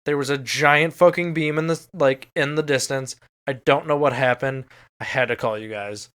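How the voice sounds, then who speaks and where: A man's voice: mid-range voice, steady tone, moderate pace, fairly bright tone, clear, resonant tone. An American man co-hosting a podcast, chatting and telling stories casually with some swearing. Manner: casual, profane. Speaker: podcast co-host. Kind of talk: casual podcast chat, storytelling.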